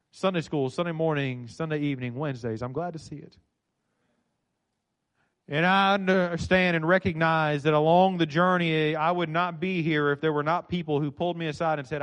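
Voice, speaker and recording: Preaching man, loud then hushed. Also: fairly high pitch. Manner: dynamic preaching, swinging between loud and soft. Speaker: pastor. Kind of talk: sermon. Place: church sanctuary.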